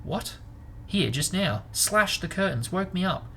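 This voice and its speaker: A man's voice: high-pitched, expressive, a little slow, a bit bright, a little hiss or hum, voice pushed up, exaggerated voice. A man voicing a frightened teenage boy in a storybook, urgent and insistent. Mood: panicked, insistent. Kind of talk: storybook read-aloud.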